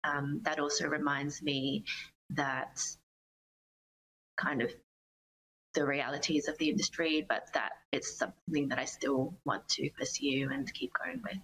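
A woman's voice: low voice, steady tone, a little slow, a bit bright, slightly rough, poor audio. A woman giving reflective, earnest interview answers as a guest musician, phrasing her thoughts carefully. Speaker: guest, singer-songwriter.

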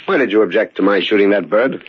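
A man's voice: fairly low pitch, fast talker, husky, soft background music. A male actor playing an earnest, bookish student of birds giving warnings in a 1940s radio drama. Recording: muffled, hissy 1940s radio recording.

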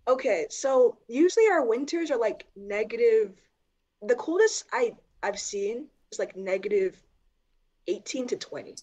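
A young woman from Canada chats with a new acquaintance, joking and giggling between filler words. Her voice sits a little high for a woman. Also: animated, unhurried, a little mellow, dull audio. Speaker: conversation partner. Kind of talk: casual chat.

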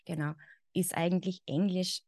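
A woman's voice: fairly low pitch, fairly even intonation, moderate pace, darkish tone, dry recording, studio quality. A woman in her thirties with an Austrian German accent, a guest expert talking reflectively in a podcast conversation and often saying "genau" and "ja".

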